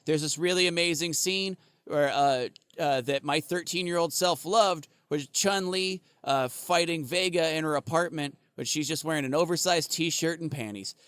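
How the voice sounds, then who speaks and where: A man's voice: fairly high pitch, moderate pace, hardly any pauses, fairly bright tone, smooth, no echo. Rambling, profane man on a podcast. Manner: rambling, profane, laughs often, lots of fillers. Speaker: podcast co-host. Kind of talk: casual chat.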